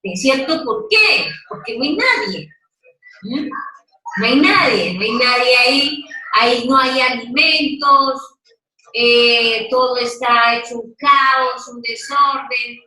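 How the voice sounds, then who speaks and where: Slow, bright-voiced woman teaching. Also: sits high. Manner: slow, questioning, coaxing listeners to take part. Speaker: Bible study leader. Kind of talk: interactive Bible teaching. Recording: echoey room, mic at a distance.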